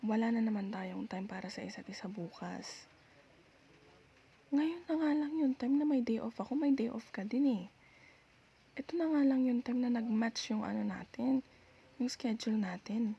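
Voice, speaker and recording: A woman's voice: fairly high pitch, moderate pace, vocal fry, long pauses, dark tone, husky, dull audio, heavily acted. A woman playing a nagging, sulky young Filipino girlfriend who scolds and questions her partner in an ASMR roleplay. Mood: hurt, reproachful. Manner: scolding, pouty, rhetorical questioning.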